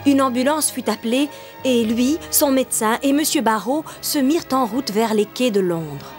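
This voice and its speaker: A woman narrating a dubbed animated drama in a composed, scripted storytelling style. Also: a little high, moderate pace, natural voice. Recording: narration over clear music.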